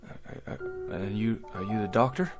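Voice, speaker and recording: An actor playing a bewildered, desperate man from an 1840s wagon train, with halting, old-fashioned rustic speech in a vintage TV drama. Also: on the low side, over music, natural voice. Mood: earnest, uncertain.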